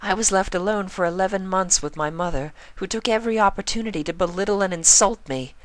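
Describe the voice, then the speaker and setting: A woman's voice: medium pitch, fast, no room sound, pitched above the performer's own voice, lightly acted. A woman voicing a genteel, anxious young mother unicorn with proper, plaintive phrasing in a story reading. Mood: hurt, confiding.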